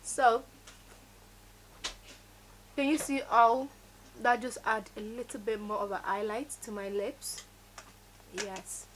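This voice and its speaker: A woman's voice: medium pitch, a little hiss or hum, rough recording. Woman teaching makeup. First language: Yoruba. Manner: instructive, emphatic, repeats key words. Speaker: makeup tutorial host. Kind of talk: step-by-step beauty tutorial.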